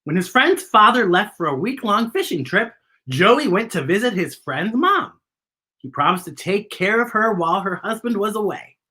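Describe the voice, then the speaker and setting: A woman's voice: medium pitch, big pitch swings, moderate pace, pushed and bright. A woman hosting a children's story time, reading a picture book aloud in a playful, theatrical way with chatty asides to the young listeners.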